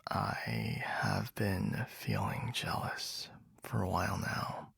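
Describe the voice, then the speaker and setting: A young man voicing a jealous, possessive best friend in a whispered roleplay, speaking low and breathy with frequent sighs, close to the microphone. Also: big pitch swings, slow, a bit bright, no echo, exaggerated voice. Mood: confessing. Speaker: ASMR roleplay voice actor. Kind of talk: whispered ASMR boyfriend roleplay.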